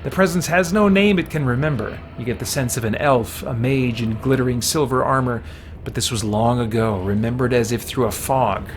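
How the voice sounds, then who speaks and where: A man hosts a game stream in a casual, think-aloud way, moving between reading on-screen text and talking to viewers. His voice sits at a middling pitch, with some faint noise in the background. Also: normal speed.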